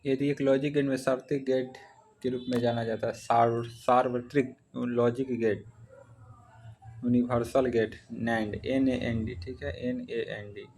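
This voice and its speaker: A man's voice: mid-range voice, level tone, a little slow, darkish tone, slight background noise, low-quality recording. An Indian man teaching exam practice, reading out questions and following each with a short answer.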